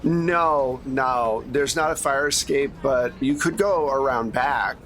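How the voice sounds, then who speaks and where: A man with a medium-pitched voice acts as game master for a tabletop session. He describes scenes on the fly in a loose, conversational style. Some noise sits behind his voice. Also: slow, fairly bright tone. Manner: casual, conversational. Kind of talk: improvised tabletop game narration.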